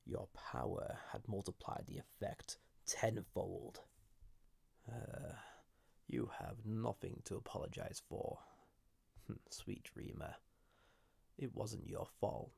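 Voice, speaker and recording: A deep, heavily creaking villain of ancient origin, acted by a man, speaks close and dry to the listener. He is flirtatious and dangerous, with a husky edge and deliberate pauses, as in an intimate fantasy audio drama. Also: darkish tone, dry recording, heavily acted. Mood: reassuring. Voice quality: gravelly, husky. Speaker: audio roleplay voice actor. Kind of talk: intimate scripted audio roleplay addressed to listener.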